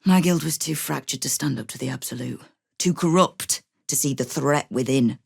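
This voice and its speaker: Low-voiced, sardonic crime boss. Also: female voice, moderate pace, gravelly, darkish tone, husky, no echo, exaggerated voice. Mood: serious. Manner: wry, dry, veiled threats. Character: shrewd, sardonic female crime guild boss, hard-edged. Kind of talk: scripted video game voice acting.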